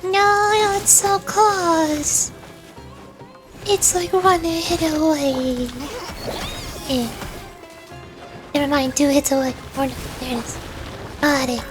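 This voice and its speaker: A woman's voice: sits high, steady tone, slow pace, pauses often. A woman giving casual, chatty livestream commentary, talking to herself as she plays a video game. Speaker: streamer, virtual YouTuber. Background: game music.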